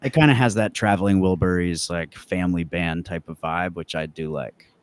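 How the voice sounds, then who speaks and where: A man's voice: low-pitched, moderate pace, clear, resonant tone. A young man from the United States, a musician chatting casually as an interview guest and reminiscing in a laid-back, rambling way. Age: young adult. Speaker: musician, band frontman being interviewed.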